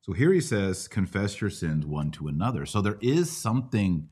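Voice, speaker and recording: A middle-aged American man with a notably low voice. He talks like a podcast host and teacher, explaining informally and prompting his listener with quick questions. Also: sing-song, darkish tone, husky. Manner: didactic, prompts with questions and rhetorical checks. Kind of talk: conversational live Bible Q&A teaching.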